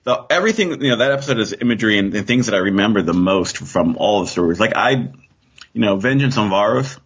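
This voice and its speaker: A man's voice: on the low side, animated, fast talker, a bit bright, husky. A man chatting casually as a podcast guest, rambling and thinking aloud as he goes. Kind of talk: casual chat. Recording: dull, muffled lo-fi sound.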